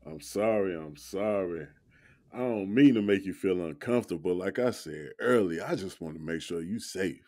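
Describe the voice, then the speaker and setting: A man's voice: low-pitched, moderate pace, warm tone, lightly acted. An American actor playing a protective young man on a date, speaking earnest, slangy dialogue in an audio drama. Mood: apologetic.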